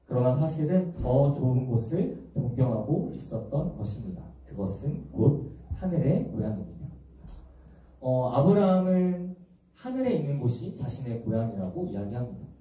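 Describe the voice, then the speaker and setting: A man's voice: medium pitch, very animated, normal speed. Young man preaching in an echoey church. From Busan, South Korea. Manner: gentle, explanatory, asks the congregation questions. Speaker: youth ministry preacher. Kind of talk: sermon. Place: large echoey church hall. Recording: echoey church hall from far off.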